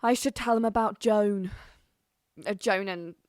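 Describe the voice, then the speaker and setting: A woman's voice: mid-range voice, steady tone, a little slow, no room sound, studio quality, natural voice. A woman playing a wistful farm wife composing a letter in an audio drama monologue, hesitant and musing. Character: wistful English farm wife writing to her absent husband.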